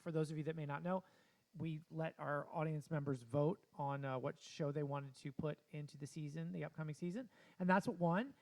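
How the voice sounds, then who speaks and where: A man co-hosting a casual theater interview, talking with enthusiastic, drawn-out emphasis. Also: on the high side, steady tone, darkish tone. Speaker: co-host.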